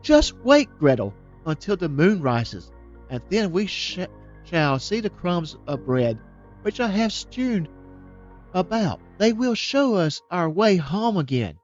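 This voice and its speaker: A man reading as Hansel, a gentle boy. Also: sits high, sing-song, takes it slowly, dull audio, faint music behind, pitched above the performer's own voice, full character voice. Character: young boy Hansel, brave, comforting his sister.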